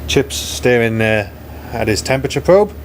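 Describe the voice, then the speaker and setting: A man's voice: mid-range voice, animated, normal speed, a little hiss or hum. Welsh man, casual live commentary. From Wales. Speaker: scientist narrating a live dive broadcast. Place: ship control room.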